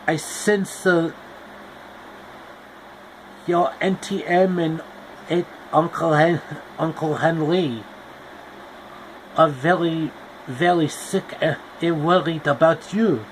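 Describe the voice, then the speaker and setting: A man voicing a showy male magician who drops French phrases into slow, theatrical talk. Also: on the high side, slight background noise, rough recording. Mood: grandiose.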